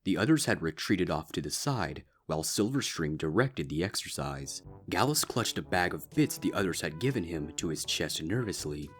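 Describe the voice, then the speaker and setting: A man's voice: deep voice, lively intonation, normal speed, few pauses, faint music behind. A man reading a scripted fan fiction story aloud as its narrator.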